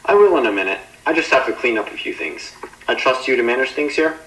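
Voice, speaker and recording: A man's voice: mid-range voice, expressive, fast, a bit bright, lightly acted. A man playing a courteous, formal spacecraft captain in an analog horror drama, commanding yet encouraging, in a roomy echo. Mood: businesslike. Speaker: ship's captain.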